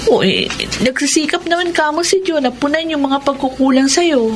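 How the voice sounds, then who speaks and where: A woman's voice: sits high, animated, moderate pace. An actress playing a sympathetic, questioning woman friend and confidante in a radio drama. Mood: probing, sympathetic. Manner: questioning, concerned. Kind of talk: Tagalog radio drama acting. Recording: clear background noise and ambience.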